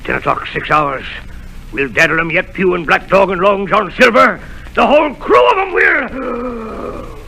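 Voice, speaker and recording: An actor playing a dying, feverish old sea captain in a 1930s radio drama. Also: male voice, animated, a little slow, creaky, pushed and bright, slight background noise, heavily acted, rough recording. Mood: delirious. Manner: raving, lurching in pitch.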